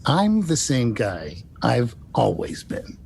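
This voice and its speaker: A man's voice: wildly swooping pitch, slow, creaky, darkish tone, full character voice. A man playing a cranky, stubborn eighty-two-year-old patient who grumbles and wisecracks in a screenplay reading. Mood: grumbling. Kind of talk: screenplay table read. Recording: audible low rumbling noise.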